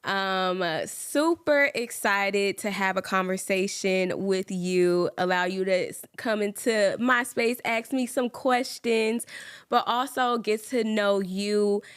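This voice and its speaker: A woman's voice: mid-range voice, takes it slowly, clear, resonant tone. A young woman from Georgia, hosting a casual podcast chat in an upbeat, talkative way with many ums. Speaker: podcast host.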